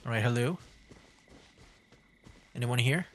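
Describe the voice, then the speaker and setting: A man's voice: mid-range voice, takes it slowly, a little hiss or hum. A man livestreaming a video game, rambling casually and thinking aloud as he plays. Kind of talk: casual gameplay commentary. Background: game music.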